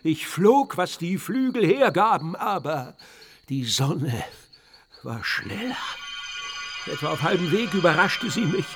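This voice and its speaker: Pompous, highish-voiced eagle owl. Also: male voice, very animated, unhurried, music in the background. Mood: dramatic indignation. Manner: theatrical, formal, aggrieved storytelling. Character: pompous, dramatic old male eagle owl. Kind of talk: children's radio play acting.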